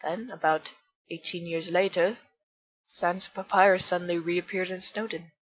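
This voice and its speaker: A woman voicing an earnest, polite male bartender with formal, measured speech in a fan comic dub. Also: fairly low pitch, fairly even intonation, close to an everyday voice. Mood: wistful. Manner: formal, measured, careful diction. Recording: muffled husky recording with faint music.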